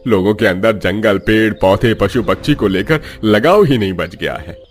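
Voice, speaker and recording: A man's voice: on the low side, moderate pace, faint music behind, close to an everyday voice. An actor playing a poor old village father in a cartoon story, mournful and lamenting. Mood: sad. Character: poor, sorrowful old forest woodcutter father.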